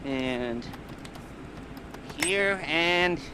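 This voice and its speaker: A man's voice: animated, extremely slow, background noise. An actor playing a jittery, heavily medicated male heist planner in a video game, rambling and laughing nervously. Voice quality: nervous, rambling, giggly, manic swings in pitch. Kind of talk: video game cutscene acting.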